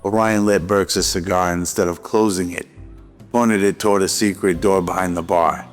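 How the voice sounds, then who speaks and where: Deep, even-toned male narrator in reverb. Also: normal speed. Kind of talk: scripted radio drama narration. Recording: long reverb over faint music.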